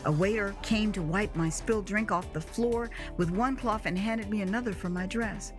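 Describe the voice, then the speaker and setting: An American woman narrating a first-person audio drama in a theatrical, expressive way with dramatic pauses. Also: mid-range voice, over music. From United States.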